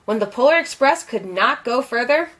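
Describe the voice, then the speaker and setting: A woman reading a children's storybook aloud in an expressive, dramatic and deliberate storytelling style. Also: on the high side. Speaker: storybook reader.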